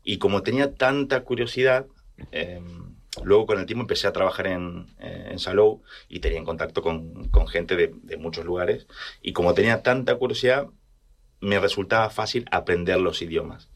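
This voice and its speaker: A man from rural Argentina with an Argentine accent, telling personal stories casually and haltingly as he searches for words. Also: low-pitched. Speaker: interview guest.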